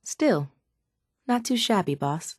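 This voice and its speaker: An actress playing a sardonic, calculating raider chemist in a video game, speaking in a dry, measured, faintly condescending way. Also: female voice, medium pitch, lively intonation, darkish tone, no room sound. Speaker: video game character voice actor. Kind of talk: scripted video game dialogue.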